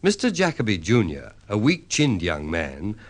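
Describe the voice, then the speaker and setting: A man narrating a scripted radio drama as its announcer, in a theatrical, measured manner. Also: on the low side, huge pitch swings. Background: faint music.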